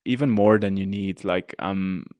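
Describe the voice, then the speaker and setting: A man's voice: deep voice, a little slow, darkish tone, dry recording. A man from the mountains of northern Italy, a guest on a podcast, talking casually with many fillers and restarts. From Dolomites region of northern Italy. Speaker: guest, activist rapper. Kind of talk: casual interview talk.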